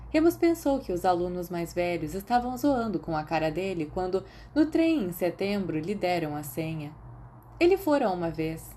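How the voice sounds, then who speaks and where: A woman with a Brazilian accent reading fiction aloud as an expressive scripted narrator. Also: fairly low pitch, a little hiss or hum. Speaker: audiobook-style narrator of fan fiction.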